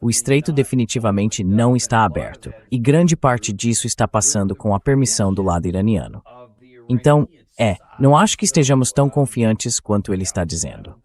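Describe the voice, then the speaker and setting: Lowish male host going through numbers on a news discussion, dubbed. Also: lively intonation, fairly quick, darkish tone. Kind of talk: presenting figures, translated voice-over.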